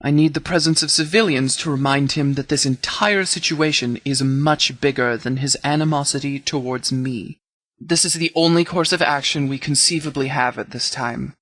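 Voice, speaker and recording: A man's voice: fairly high pitch, moderate pace, a bit bright, no room sound. Man playing a stern, battle-weary military leader. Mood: firm, commanding. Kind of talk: video game dialogue acting.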